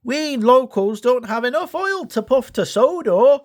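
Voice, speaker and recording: A man's voice: very high-pitched, lively intonation, a little slow, pitched above the performer's own voice. A man voicing a wary, plain-spoken logging locomotive in a children's storybook, cautioning and emphatic.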